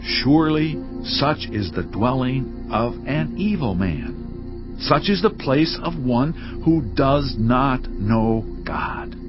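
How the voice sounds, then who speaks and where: An actor playing a stern biblical accuser who pronounces doom on the wicked in a grave, declamatory, ominous way, for a dramatized audio Bible. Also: male voice, mid-range voice, lively intonation, slow. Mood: grim, condemning. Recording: slightly dull sound under clear background music. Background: music.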